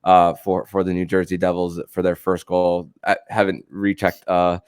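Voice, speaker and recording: Low, smooth-voiced man with halting delivery. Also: completely flat delivery, no room sound, studio quality. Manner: halting, stammering, repeats words and phrases. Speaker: sports podcast host. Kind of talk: casual sports talk.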